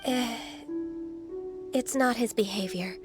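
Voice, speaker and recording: A woman playing a gentle, earnest young female immortal in a video game, speaking in a polite, formal and hesitant way. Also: on the high side, takes it slowly, over music. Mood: downcast. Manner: soft-spoken, formal, hesitant. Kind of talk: English game dub acting.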